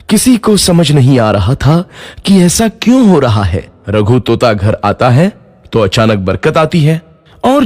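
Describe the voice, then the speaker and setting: A man's voice: mid-range voice, a little mellow. A man narrating a scripted moral story for children.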